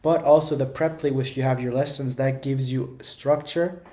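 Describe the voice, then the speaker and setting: A man's voice: mid-range voice, fairly even intonation, moderate pace, warm tone. A man giving casual, rambling how-to advice, speaking informally and emphatically and often repeating phrases for stress. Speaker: language-learning video creator.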